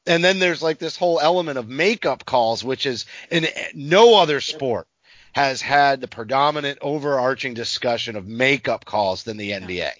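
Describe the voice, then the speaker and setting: A man of medium pitch hosts a sports podcast in a casual, informal style. He states his opinions bluntly. The sound is very dry and slightly dull, with no room around the voice. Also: normal speed, a bit bright, no room sound. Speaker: podcast host.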